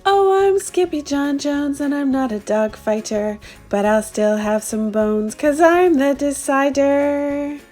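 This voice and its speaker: A woman doing a spirited little kitten boy from a storybook, wide-eyed and singsong. The voice goes high and bouncy. It moves at a slow, careful pace. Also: expressive, darkish tone, faint music behind.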